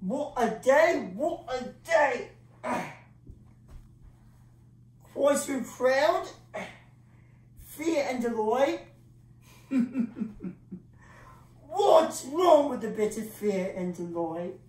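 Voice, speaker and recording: A woman performing a dramatic monologue as a grandiloquent old English male clown, pausing for effect as he tells his bittersweet tale. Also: high-pitched, lively intonation, darkish tone, full character voice. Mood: excited, restless. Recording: echoey room, distant microphone.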